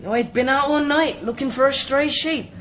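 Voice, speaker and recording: A defiant, well-born young lad in a radio drama. The voice is high, plucky and guarded, with a faint noise behind it. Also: female voice, moderate pace, a bit bright. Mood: guarded defiance. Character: defiant young noble boy posing as shepherd.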